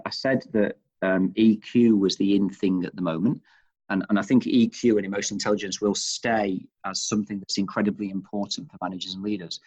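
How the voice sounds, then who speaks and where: Low-voiced Northern English man, slightly muffled. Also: a little mellow. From Manchester, England. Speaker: interview guest, chief executive of leadership institute. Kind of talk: conversational interview answers. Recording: dull, muffled lo-fi audio.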